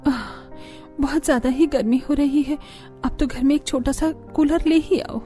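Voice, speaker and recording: Brief: actress as a complaining young cartoon daughter, very high, mellow tone, whiny and irritated. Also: female voice, normal speed, faint music behind, lightly acted. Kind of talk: Hindi cartoon story voice acting.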